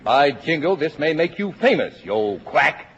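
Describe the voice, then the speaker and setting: A man's voice: a little high, very animated, moderate pace, fairly bright tone. An actor playing a pompous, bossy father in a 1950s radio comedy, with an imperious, clipped manner. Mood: exasperated, imperious. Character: pompous, bossy, self-assured father and efficiency expert. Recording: muffled, noisy old radio broadcast.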